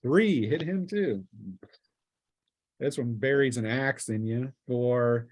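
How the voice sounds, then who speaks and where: A man's voice: mid-range voice, slow. A man speaking casually as game master, narrating a tabletop role-playing game with vivid sound-effect words.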